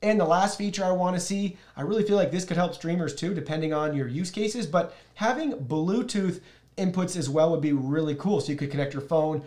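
A man's voice: a little high, a little fast, darkish tone. A man in his thirties giving a casual, enthusiastic product review to camera, addressing viewers directly. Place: small plain indoor studio, seated at a desk.

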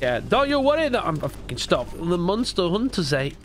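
A man playing an online roleplay game, bantering jokily and excitably, with plenty of swearing. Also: sits high, a little fast.

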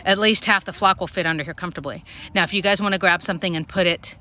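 A woman's voice: fairly even intonation, quick pace, bright, forceful tone. A woman with children chatting casually while filming her chickens outdoors on a phone, explaining how things are built. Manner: chatty, explains while calling hens in a high sing-song. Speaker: backyard chicken vlogger. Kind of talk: casual outdoor show-and-tell. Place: backyard chicken run outdoors. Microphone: handheld phone camera.

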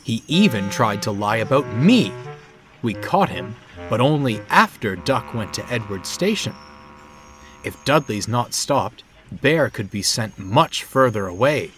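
Man as a kindly, authoritative steam engine, animated. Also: medium pitch, a little slow, faint music behind. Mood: stern. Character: kindly, stern, experienced male steam engine.

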